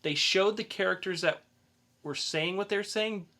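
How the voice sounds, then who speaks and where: Bright-voiced man chatting as a podcast host. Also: sits high, unhurried. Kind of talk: casual film review chat.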